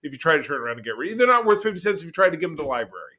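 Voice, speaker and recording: A man's voice: a little high, big pitch swings, rapid-fire, pushed and bright. A man hosting a podcast in casual conversation, talking in an emphatic, rambling, opinionated way. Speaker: podcast host.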